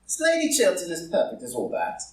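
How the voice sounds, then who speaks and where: A distant, roomy recording of a man acting a witty, dandyish gentleman in a stage drama. He speaks in polished, urbane phrases, with lively swings of pitch. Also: fairly quick. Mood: urbane, wry. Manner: epigrammatic, urbane, formal. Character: languid witty English gentleman, dandyish loyal friend. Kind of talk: live group play reading. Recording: roomy echo, far from the mic.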